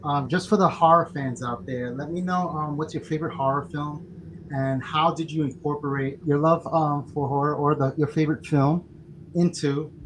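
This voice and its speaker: Enthusiastic middle-aged interviewer on a call. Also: male voice, on the high side, fairly even intonation, normal speed, slight background noise, rough recording. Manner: enthusiastic, hesitant with ums. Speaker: interviewer, managing editor. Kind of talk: casual interview questions. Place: home office with shelves. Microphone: studio mic with earbuds on video call.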